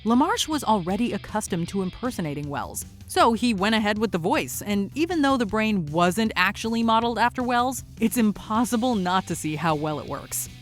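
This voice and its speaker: A woman's voice: mid-range voice, very animated, a little fast, hardly any pauses, faint music behind. A woman reading scripted, upbeat narration as the host of a countdown list.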